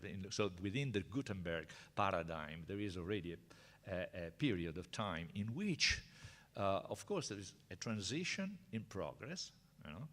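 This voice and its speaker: A man's voice: low voice, a bit bright. An older man with an Italian accent gives a university seminar lecture, hesitant and chatty, with many fillers and restarts. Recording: reverberant lecture hall.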